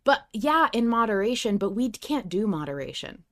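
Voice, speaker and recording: A woman's voice: on the high side, big pitch swings, moderate pace, fairly bright tone. Casual woman podcast host, playful debater. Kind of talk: casual chat about an audio drama.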